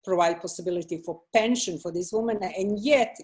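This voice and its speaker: A woman's voice: fairly low pitch, a little fast, a little mellow. A woman moderating a panel discussion, thoughtful and hesitant, with many fillers.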